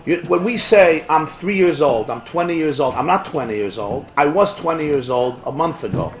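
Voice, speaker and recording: Animated rabbi teaching a Talmud class. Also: male voice, a little high, normal speed, faint music behind. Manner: animated, rhetorical, drops Hebrew and Yiddish terms. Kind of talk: lively Talmud class lecture with back-and-forth. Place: classroom with students.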